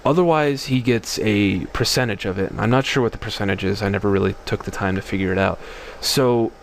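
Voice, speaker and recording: A man with a deep voice giving relaxed, rambling commentary as he plays through a game and reads its text aloud. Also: fairly quick, slight background noise. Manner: relaxed, rambling, many fillers and restarts; reads the game's dialogue aloud in his own voice. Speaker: let's play host. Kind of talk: casual gameplay commentary. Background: game music.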